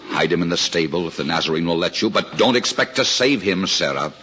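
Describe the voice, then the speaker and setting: An actor playing a weary, fearful middle-aged innkeeper in a 1950s radio drama, cautious and pleading before authority. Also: male voice, low-pitched, animated, brisk, bright, forceful tone, husky, heavily acted. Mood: stern, grim. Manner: cautious, pleading, deferential to soldiers. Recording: muffled, noisy 1950s radio recording.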